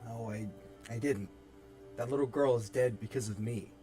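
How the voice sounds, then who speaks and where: A man's voice: medium pitch, moderate pace, warm tone, natural voice. A guilt-ridden young man, acted by a man in a dramatic table read. He is polite and self-deprecating, with a mid-range voice over faint music. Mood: guilty, dejected.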